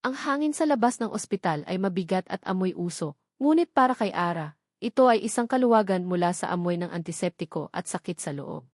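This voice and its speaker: A woman's voice: medium pitch, darkish tone, no echo, studio quality. A woman reading scripted, dramatic narration of a love story.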